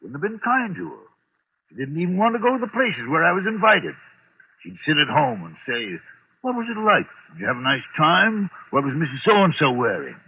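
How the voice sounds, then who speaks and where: A man's voice: fairly high pitch, very animated, normal speed. An actor playing a pompous, snobbish middle-aged businessman with a haunted streak, clipped and imperious, in old-time radio drama style. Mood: defensive, wistful. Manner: imperious, clipped, self-important. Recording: noisy, tinny vintage radio recording.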